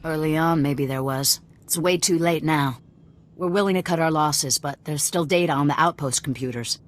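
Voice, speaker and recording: A very low-pitched female guerrilla commander, acted by a woman for a video game. She is blunt and fanatical, giving orders in clipped phrases with an open threat underneath. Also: normal speed, lightly acted. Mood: hard, businesslike. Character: hard-bitten fanatical female rebel cell commander.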